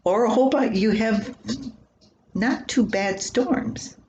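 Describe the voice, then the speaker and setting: An older woman reading her own homespun column aloud in an unhurried, plainspoken manner. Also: fairly high pitch, darkish tone, highs cut off. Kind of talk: homespun scripted storytelling, read aloud. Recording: roomy echo with faint background noise.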